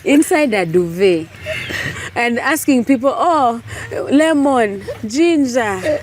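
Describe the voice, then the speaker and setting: A hoarse woman with a flu, hosting an interview in a playful, teasing way. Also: a little high, lively intonation, takes it slowly, a little hiss or hum. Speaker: host. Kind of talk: casual interview chat.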